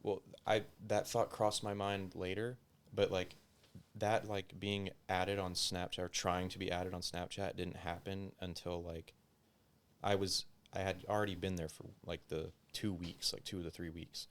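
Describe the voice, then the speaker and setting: A man's voice: low voice, flat delivery, moderate pace. A young man chatting casually as a podcast guest, rambling and profane, with lots of "like" fillers.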